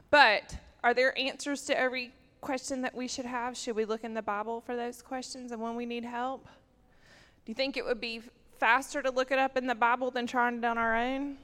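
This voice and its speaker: Woman doing a kids sermon in church, lots of questions, a bit high, long echo off the walls. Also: fairly bright tone. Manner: chatty, asks children questions. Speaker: children's sermon leader. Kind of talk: children's sermon. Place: church sanctuary. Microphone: microphone.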